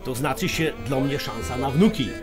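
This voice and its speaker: An actor playing a blunt, bossy but generous older uncle with a Polish highlander accent in a radio drama. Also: male voice, animated, normal speed, over music, close to an everyday voice. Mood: solemn, generous.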